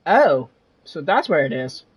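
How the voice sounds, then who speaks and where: An actor playing an earnest, firm young man in a video game, with a husky voice. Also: fairly high pitch, sing-song, measured pace, heavily acted. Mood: serious.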